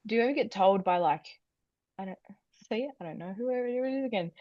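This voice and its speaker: A woman hosting a casual podcast interview, halting and full of fillers as she frames her questions. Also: mid-range voice, no room sound, dull audio. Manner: halting, filler-heavy, giggly. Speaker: podcast host.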